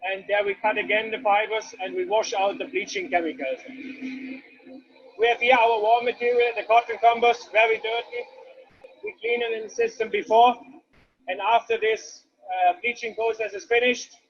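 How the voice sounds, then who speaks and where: A man's voice: high voice, normal speed, highs cut off. A man with a Swiss accent guiding a tour of a paper mill, explaining each step plainly and with non-native phrasing. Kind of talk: guided factory tour.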